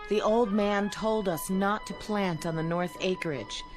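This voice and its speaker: A woman's voice: medium pitch, measured pace, music in the background, lightly acted. A woman playing an earnest, wary farmer among survivors in a radio drama.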